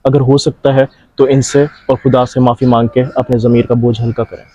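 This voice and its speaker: Man acting a preachy young man, mellow voice. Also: medium pitch, flat delivery, fairly quick, lo-fi sound, lightly acted. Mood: earnest, respectful. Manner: earnest, preachy. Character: righteous young man, moralising and respectful to elders. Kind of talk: amateur moral short-film acting.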